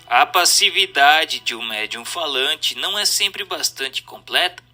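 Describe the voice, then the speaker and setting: Male Brazilian narrator, very bright and forceful, lively intonation, formal audiobook read. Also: a little high, a little slow, soft background music. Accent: Brazilian Portuguese. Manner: didactic, formal. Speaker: narrator reading a book aloud. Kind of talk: scripted audiobook-style narration.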